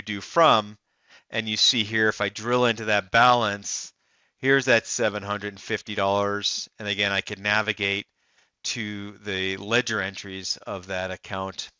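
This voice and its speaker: Male software demonstrator, smooth and slightly low, explanatory step-by-step delivery, close voice-over with slightly dulled audio. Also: dry recording, dull audio. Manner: explanatory, step-by-step first-person walkthrough. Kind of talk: narrated software demo walkthrough.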